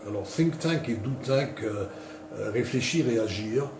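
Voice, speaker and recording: A man's voice: lowish voice, animated, unhurried. An older man from Canada introducing himself in unscripted talk, hesitating often with fillers and restarts. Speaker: interviewee. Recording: roomy and distant with clear noise.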